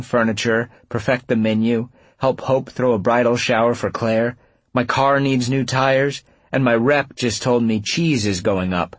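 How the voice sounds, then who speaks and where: Man voicing a defensive young woman, pitched up. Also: slightly rough, no room sound. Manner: impatient, clipped, defensive. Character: busy, defensive, snappish young woman running a restaurant.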